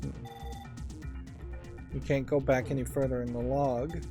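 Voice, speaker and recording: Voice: mid-range voice, level tone, a little slow. A let's play host giving casual game commentary, musing and thinking aloud while working through a puzzle game. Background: game music.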